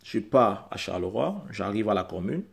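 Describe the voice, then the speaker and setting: A man's voice: lowish voice, expressive, moderate pace, gravelly. A man whose first language is not French, talking straight to camera in an insistent, repetitive way and building to emphatic peaks. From Central Africa. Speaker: vlogger.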